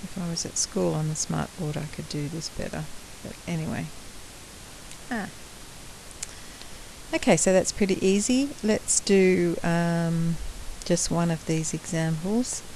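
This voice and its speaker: A croaky-voiced woman teacher talks through a maths lesson at an unhurried pace, her voice sitting low. She explains patiently and clears her throat from time to time. A faint hiss lies under the laptop recording. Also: mellow, poor audio. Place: at home, drawing on a laptop.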